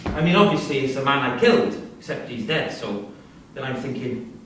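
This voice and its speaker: Actor as a blunt male prisoner, lively swooping pitch. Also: normal speed, close to an everyday voice. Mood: brooding, subdued. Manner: blunt, colloquial. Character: blunt, sweary male prisoner, cocky yet brooding killer. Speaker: actor in a staged reading. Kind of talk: live staged drama reading. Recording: very echoey hall, distant mic, hiss.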